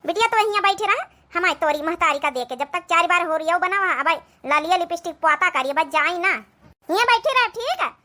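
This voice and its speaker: A woman's voice: very high-pitched, expressive, a little fast, bright, forceful tone, heavily acted. A woman voicing a nagging, sarcastic older village mother-in-law in a comic cartoon, scolding and grumbling with an Awadhi accent.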